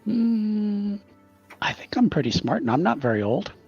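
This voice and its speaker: A player voicing a small, gentle, wise twenty-two-year-old with a grandfatherly air, measured and hesitant, in a tabletop roleplay. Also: medium pitch, sing-song, slightly rough, lo-fi sound, faint music behind, voice pushed up, exaggerated voice. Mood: amused. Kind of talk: improvised in-character roleplay.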